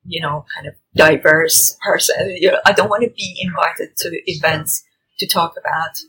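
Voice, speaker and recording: A woman's voice: low-pitched, steady tone, normal speed, a bit bright, slightly rough. A woman from Iran in her thirties, a guest in an interview, speaking reflectively with many restarts and fillers in a restaurant. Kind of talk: interview conversation. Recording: noisy restaurant recording. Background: faint restaurant ambience.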